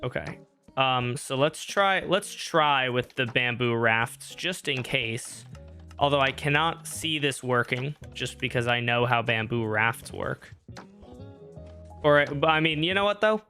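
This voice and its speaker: A man's voice: mid-range voice, animated, measured pace, bright, forceful tone, soft background music. A young man streaming a game, rambling and joking at himself as he thinks aloud. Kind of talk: casual live-stream gameplay talk. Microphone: streaming microphone.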